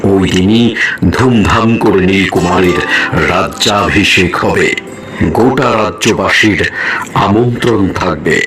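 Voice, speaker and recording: A man playing an aging, fatherly king in a cartoon, speaking in a measured, stately manner. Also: sits low, music in the background. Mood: stately, thoughtful. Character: aging king, calm, deliberate, fatherly ruler. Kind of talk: cartoon story voice acting.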